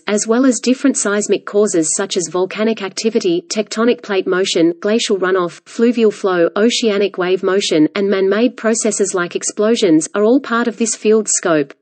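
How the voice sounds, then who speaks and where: A woman's voice: mid-range voice, darkish tone. A woman reading scripted text aloud as an exam practice narration. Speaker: reader of exam practice passages.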